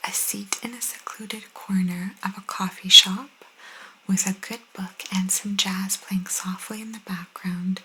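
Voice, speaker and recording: Young female ASMR host, soft intimate whisper with casual chatty delivery, mid-pitched, some noise in the recording. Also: steady tone, unhurried, rough recording. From Italian cultural background.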